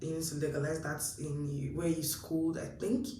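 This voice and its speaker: A woman's voice: deep voice, moderate pace. A young woman with a Nigerian accent talks casually and animatedly, her voice sitting a little back from the mic. The room gives her speech a noticeable echo, with a faint hum of ambience underneath. She sounds like she is chatting with a friend. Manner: chatty and animated, acts out her own past thoughts. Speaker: vlogger. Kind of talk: casual advice talk to camera. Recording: roomy echo, mic a bit distant.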